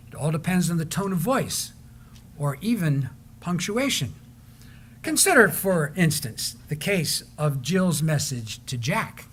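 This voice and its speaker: A man's voice: high voice, fairly bright tone. A man narrating a scripted stage presentation, introducing a reading to an audience. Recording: live stage recording with background noise.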